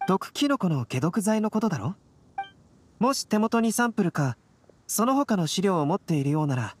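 Gentle young scholar boy played by a woman, courteous and measured, lilting mid-range delivery for game dialogue. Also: mid-range voice, slight background noise, natural voice. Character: calm, courteous young male alchemist teacher. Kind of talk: anime video game voice acting.

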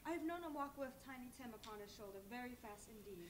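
A woman plays a grieving, gentle mother, quick in speech. She is heard distant and echoey in a hall, with a loud rumble underneath. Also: expressive, lightly acted. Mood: sorrowful. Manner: gentle, sorrowful.

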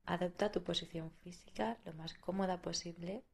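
Female meditation guide, Spain accent. Lowish register, flat steady intonation, soothing delivery with long pauses. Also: gravelly, breathy edge.

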